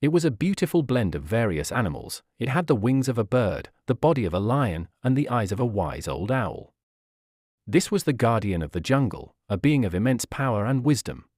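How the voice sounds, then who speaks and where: A man's voice: mid-range voice, big pitch swings, normal speed, a little mellow, no room sound, studio quality. A man narrating a scripted fairy tale for children as a storyteller.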